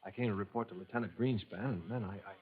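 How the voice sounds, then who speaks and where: An actor playing an eager, stammering young firefighter in a 1950s radio drama. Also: male voice, on the low side, fast talker, natural voice. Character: eager flustered young fireman. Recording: dull old radio recording.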